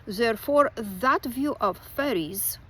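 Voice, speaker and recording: A woman from Romania, a folklore writer and teacher talking through a reflective personal monologue with many pauses. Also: on the high side, lively intonation, fairly bright tone, slight background noise.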